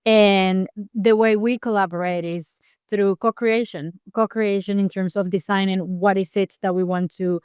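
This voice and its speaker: A woman's voice: medium pitch, steady tone, moderate pace, darkish tone, smooth, dry recording, studio quality. A woman from Peru, in her thirties, a scientist explaining her work earnestly in an interview, with occasional restarts. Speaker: scientist guest. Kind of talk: interview answers.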